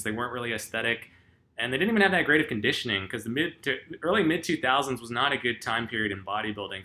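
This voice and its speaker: This is a man chatting through viewer questions in a relaxed vlog. His voice is strikingly bright and cutting at an ordinary pitch. He wanders through his answers with frequent fillers and an easy, self-deprecating manner. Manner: rambling, lots of ums and uhs; self-deprecating and laid-back. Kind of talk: casual Q&A vlog talk.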